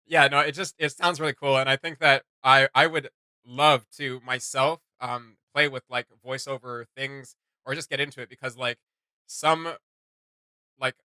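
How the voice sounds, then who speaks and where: A man's voice: mid-range voice, fairly even intonation, normal speed, pushed and bright, clear, resonant tone, no room sound. A man co-hosting a reaction video, commenting casually in an informal, slangy, joking way.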